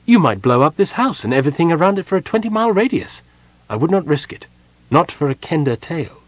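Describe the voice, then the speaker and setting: A man reading an audiobook, voicing a stern, wary sorceress in a crisp, cool, measured way. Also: on the high side, big pitch swings, dry recording, voice pushed up.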